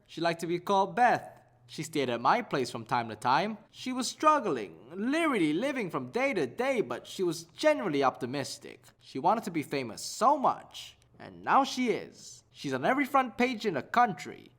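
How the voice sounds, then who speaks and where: High-voiced, animated theatre owner. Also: male voice, normal speed, heavily acted. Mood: wistful. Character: talkative movie-buff theatre owner, wistfully smitten. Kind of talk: audio drama acting.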